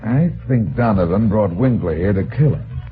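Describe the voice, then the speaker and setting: An actor playing a tough, self-assured private detective in an old-time radio drama, with a slangy hard-boiled delivery. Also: male voice, on the low side, animated, moderate pace, lightly acted. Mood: assured, reasoning. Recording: noisy, narrow-band old radio recording.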